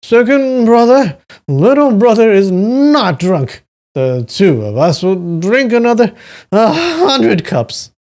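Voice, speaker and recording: Drunk young nobleman played by a male reader: raised pitch, slurred words, effusive storybook declamation. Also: very animated, a little mellow, heavily acted. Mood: drunken bravado. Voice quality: slurred, drawn-out drunken speech. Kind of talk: audiobook character voice.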